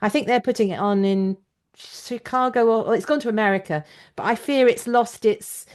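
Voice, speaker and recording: A woman's voice: fairly high pitch, moderate pace. Woman, British accent, interview host, chatty, enthusiastic, opinionated, conversational.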